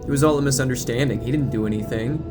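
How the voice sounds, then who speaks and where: A man's voice: medium pitch, fast talker, over music, lightly acted. Man doing an eager, needy teenage sidekick. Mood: defensive.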